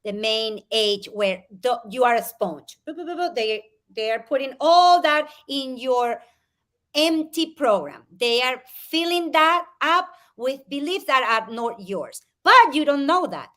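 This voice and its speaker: High, bright woman. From Spain, raised in Venezuela. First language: Spanish. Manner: animated, expressive, quick to laugh. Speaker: guest life coach. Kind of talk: live interview, explaining a method.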